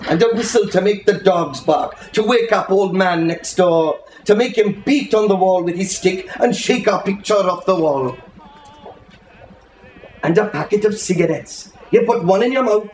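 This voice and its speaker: A man giving an expressive, lyrical and unhurried reading of a literary story aloud to listeners. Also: high-pitched, slight background noise. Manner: dramatic, lyrical, unhurried delivery. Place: indoor reading in a bookshop. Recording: roomy echo, faint music behind.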